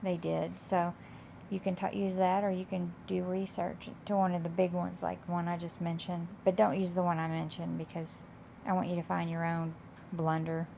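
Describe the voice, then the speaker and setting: A woman instructor with a slightly raspy, hoarse voice, explaining coursework in a casual, loosely phrased, conversational way. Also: fairly low pitch, flat delivery, normal speed, few pauses, dark tone. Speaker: college instructor. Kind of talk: informal explanation of course assignments. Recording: clear background noise.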